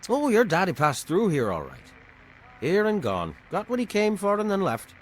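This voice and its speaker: Game villain: saloon owner, high-pitched for a man, wildly swooping, smug and grandiose. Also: huge pitch swings, normal speed, a little hiss or hum. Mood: smug, knowing. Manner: smooth-talking, theatrical, condescending. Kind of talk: video game character dialogue.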